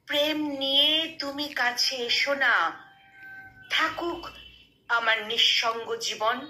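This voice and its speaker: A woman gives a dramatic, emotive recitation of a love poem, pausing deliberately between short phrases. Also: fairly high pitch, sharp tone. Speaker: poetry reciter. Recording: roomy, echoing sound.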